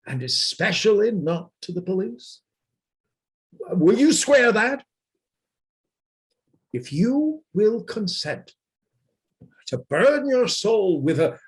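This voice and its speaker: Guy acting proud secretive anarchist, radio play, high voice, really slow and halting, formal, on a video call. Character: proud, offended male anarchist, secretive and grandiose.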